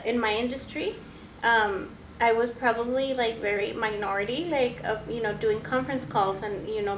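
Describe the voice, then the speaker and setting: Reflective Spanish-native woman co-hosting chat. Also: a little high. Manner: reflective, heavy use of like and you know. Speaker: podcast co-host. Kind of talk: casual podcast chat. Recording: echoey room with faint noise.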